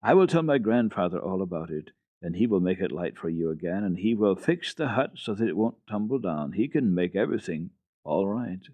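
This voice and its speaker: A man's voice: low-pitched, animated, a little fast, a little mellow, no room sound, highs cut off. A man voicing an earnest, eager and kind little girl in a dramatic storybook reading. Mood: comforting.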